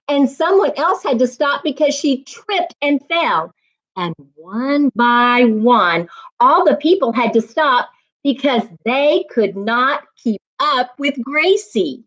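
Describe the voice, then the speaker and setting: A woman with a fairly high, very lively voice hosts a children's storytime. She takes her time, phrasing each line deliberately and with clear emphasis, like a reading coach guiding young listeners. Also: dull audio. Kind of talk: expressive children's read-aloud and teaching.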